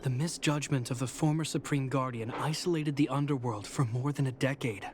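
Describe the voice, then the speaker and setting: A man voicing an earnest young guard captain in a game, speaking in a steady, reassuring way. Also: mid-range voice, fairly quick, noisy background, lightly acted. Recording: game audio with music behind.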